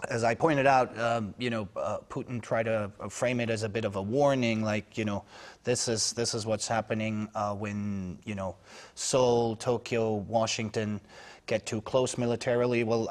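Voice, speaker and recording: Halting male news correspondent. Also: fairly low pitch. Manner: halting, many fillers and restarts. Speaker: foreign news correspondent, panel guest. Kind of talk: unscripted news panel discussion.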